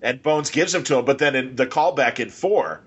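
A man's voice: mid-range voice, expressive, normal speed, slightly rough, lo-fi sound. A man hosting a podcast, chatting casually about films in an enthusiastic, rambling way and slipping into imagined quotes. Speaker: podcast host.

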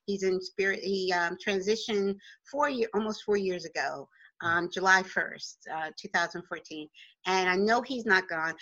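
Chatty bright-voiced woman host, lo-fi sound. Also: mid-range voice. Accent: New Jersey. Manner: chatty, rambling, laughs easily. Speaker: radio show host. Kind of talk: casual interview chat. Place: home office.

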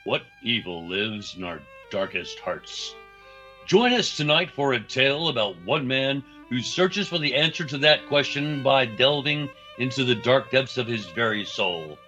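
A man announcing and narrating a radio play in a dramatic, deliberate, scripted style. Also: medium pitch, expressive, bright, forceful tone, lo-fi sound, faint music behind.

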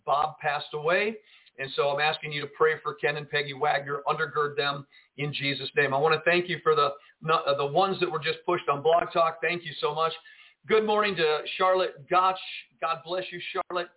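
A man, a pastor and radio host, preaching fervently and greeting listeners on a live broadcast, swinging between loud emphasis and quieter asides. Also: on the high side, fairly even intonation, moderate pace. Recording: tinny phone-line audio.

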